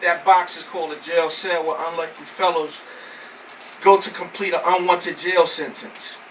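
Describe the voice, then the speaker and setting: An American man from Brooklyn performing spoken word poetry live, with emphatic, deliberate phrasing. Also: on the high side, fairly even intonation. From Brooklyn, New York, United States. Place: live poetry venue with small audience. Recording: noisy, distant amateur recording of live venue.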